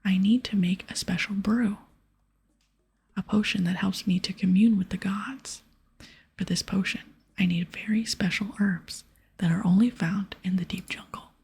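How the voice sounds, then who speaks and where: Solemn, courteous village shaman for a fantasy game, read by a woman, very breathy whisper, slight creak, measured and formal. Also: mid-range voice, steady tone, darkish tone. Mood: solemn, welcoming. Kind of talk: whispered ASMR reading of game dialogue.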